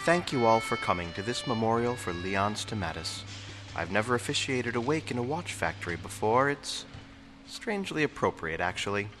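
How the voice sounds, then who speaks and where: Actor as solemn memorial officiant, lowish male voice, widely varying intonation, formal oratory, courteous, faint background noise. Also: animated, normal speed, music in the background, lightly acted. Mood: solemn, welcoming. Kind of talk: audio drama, ceremonial public address.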